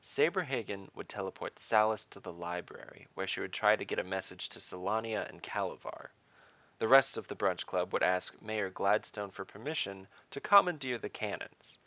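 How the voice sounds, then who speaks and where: Creaky, bright-edged male fantasy storyteller. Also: low voice, dry recording, dull audio. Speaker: host, storyteller and dungeon master. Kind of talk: scripted fantasy fiction narration.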